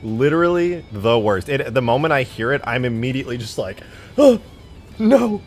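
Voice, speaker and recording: A man's voice: mid-range voice, brisk, soft background music. A man livestreaming in a casual, slangy way, reading out viewer comments and talking with self-deprecating bluntness and some swearing. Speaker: live streamer.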